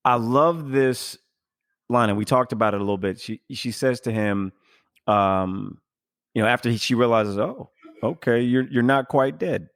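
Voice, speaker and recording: A man hosting a casual podcast, recapping a story in a halting, think-aloud way and paraphrasing bits of dialogue. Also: mid-range voice, no echo, studio quality. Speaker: podcast host.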